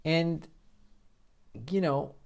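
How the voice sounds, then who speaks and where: Exasperated American man, halting political rant. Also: fairly high pitch, steady tone, creaky, a little mellow. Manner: exasperated, incredulous, mocking; halting, with repeated false starts. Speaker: host of a political commentary show. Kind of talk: casual political commentary with read-out quotes.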